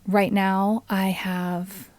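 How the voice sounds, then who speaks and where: A woman streamer chatting casually with her live audience, relaxed and friendly, close to a USB microphone. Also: mid-range voice. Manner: slow, relaxed and friendly, greets viewers by name, with small laughs. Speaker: streamer and ukulele player. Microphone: USB microphone on a boom arm with a pop filter.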